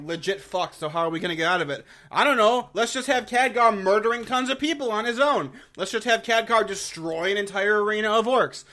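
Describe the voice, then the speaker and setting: A man's voice: high voice, pushed and bright. A man giving a casual, opinionated game lore review, blunt and exasperated, with slangy swearing and mocking asides.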